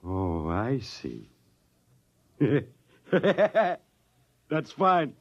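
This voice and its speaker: An actor playing a strained, commanding spaceship captain with a terse, dramatic delivery in a vintage radio play. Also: male voice, mid-range voice, wildly swooping pitch, very slow, full character voice. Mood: bitter irony. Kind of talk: mid-century radio drama acting. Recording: dull lo-fi old radio recording.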